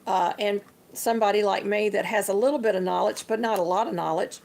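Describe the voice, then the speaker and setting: An older woman hosting a casual livestream, slightly hoarse and chatty, talking to her viewers as y'all. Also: mid-range voice, fairly even intonation, a little fast, darkish tone. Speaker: livestream host. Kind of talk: casual livestream show-and-tell.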